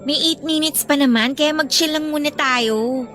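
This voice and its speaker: A woman playing a young student, a dutiful but resentful older sister, expressive and conversational in a Filipino radio drama. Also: high-pitched, normal speed, faint music behind. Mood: teasing.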